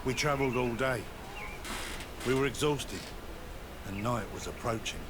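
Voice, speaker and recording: A man's voice: medium pitch, a little slow, background noise, close to an everyday voice. A man playing a shaken, deferential caravan survivor in a video game, recounting things in a grave, anguished way. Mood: weary, grave. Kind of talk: scripted video game dialogue.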